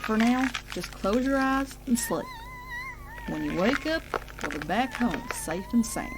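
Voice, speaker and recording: A woman's voice: sits high, wildly swooping pitch, measured pace, music in the background, exaggerated voice, poor audio. A woman voicing an adult pony big sister who coaxes with sinister reassurance in a dramatic fan fiction reading. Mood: falsely reassuring. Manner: coaxing, falsely reassuring. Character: adult pony big sister, sweetly soothing yet sinister cult initiator.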